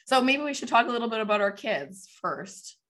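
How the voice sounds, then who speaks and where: A woman in her thirties, hoarse from a cold, co-hosting a casual chat and laughing often. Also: fairly high pitch, very animated, brisk, a bit bright. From Canadian prairies. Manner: chatty, laughs often, many likes. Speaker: podcast co-host, farm mom.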